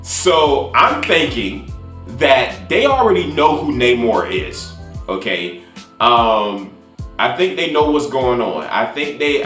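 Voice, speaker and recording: A man with a deep voice gives a casual movie review. He talks haltingly, repeating and restarting phrases as he goes. The room adds noticeable echo, and faint music plays behind him. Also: a bit bright. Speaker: YouTube film reviewer.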